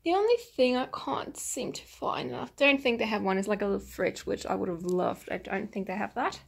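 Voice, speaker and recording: A young woman chatting casually to her viewers as a travel vlogger, with a husky voice. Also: mid-range voice, expressive, fairly quick. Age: young adult. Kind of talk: casual vlog chat to viewers. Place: small hotel room. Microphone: handheld camera at arm's length.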